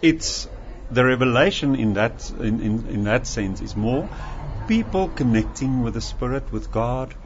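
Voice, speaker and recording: Male guest author; reflective, halting, animated pitch; slightly muffled radio sound. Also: a little hiss or hum. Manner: thoughtful, searching for words, repeats himself. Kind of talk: reflective interview talk.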